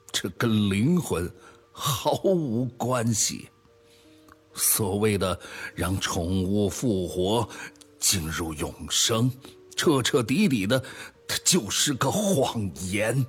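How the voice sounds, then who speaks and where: Man acting a grave, slow, deep-voiced remorseful tycoon. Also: husky, heavily acted. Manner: grave, solemn, deliberate, sighing. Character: remorseful male tech founder confessing a fraud. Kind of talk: dramatic radio play monologue.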